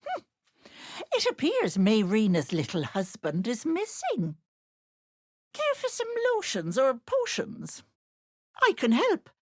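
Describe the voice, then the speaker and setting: A woman's voice: fairly high pitch, huge pitch swings, slow pace, pauses often, a little mellow, no echo, clean studio sound, full character voice. A woman playing a sly old hag who poses as a sweet auntie in a video game, cooing pet names that slide into menace. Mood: coaxing. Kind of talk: theatrical video game character acting.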